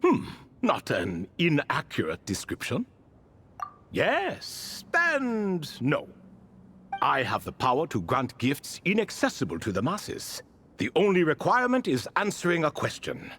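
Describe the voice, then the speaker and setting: An actor playing an ancient, wry and refined spirit who tells stories in a proud, wistful way in a video game. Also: male voice, fairly high pitch, huge pitch swings, unhurried, fairly bright tone, a little hiss or hum, full character voice. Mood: wry, courtly. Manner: theatrical, refined, leisurely storytelling. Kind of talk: video game character dialogue.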